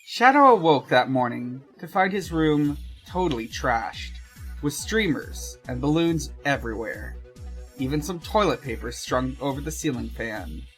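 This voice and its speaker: A podcast host speaking casually and haltingly, in chat and reading fanfiction aloud. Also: medium pitch, animated, fairly bright tone, soft background music, poor audio. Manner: hesitant, halting.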